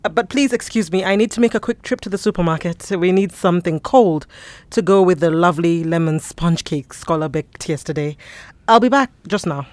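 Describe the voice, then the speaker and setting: An actress with a Kenyan accent playing a composed, quietly firm wife and mother in an audio drama. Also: lowish voice, brisk, no echo, close to an everyday voice. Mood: politely hurried. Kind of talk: acted audio fiction.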